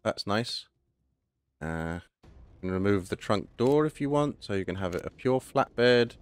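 A man with a hoarse voice, casually talking through a game update and thinking aloud as he plays. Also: fairly low pitch, animated, unhurried. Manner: chatty, thinking aloud, self-correcting. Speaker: gaming channel host. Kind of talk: casual gameplay commentary.

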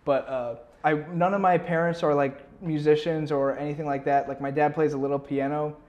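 A man's voice: a little high, level tone, fairly quick, a little mellow, dull audio. A young man talking casually as an interview guest, rambling and slangy, peppering his sentences with "like". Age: young adult. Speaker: musician guest. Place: small furnished indoor room. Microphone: clip-on lapel mic.

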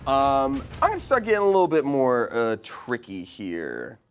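A man's voice: medium pitch, huge pitch swings, normal speed. A young man hosting a playful quiz show with a dry, teasing manner. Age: young adult. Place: indoor studio set. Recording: music bed behind the voice.

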